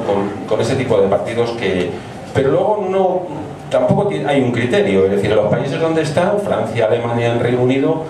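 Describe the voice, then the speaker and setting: A middle-aged man with a Spanish accent answering audience questions in a quick, conversational way that trails off. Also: mid-range voice. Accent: Spain. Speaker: guest speaker. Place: small pub stage. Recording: echoey pub from far off, noisy.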